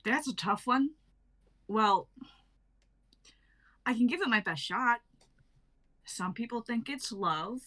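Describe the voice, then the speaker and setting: A woman's voice: on the high side, lively intonation, slow, pauses often, sharp tone, full character voice. A woman reading the part of a breezy, cryptic female stranger in a stage play, casual and teasingly evasive. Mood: cryptic, assured.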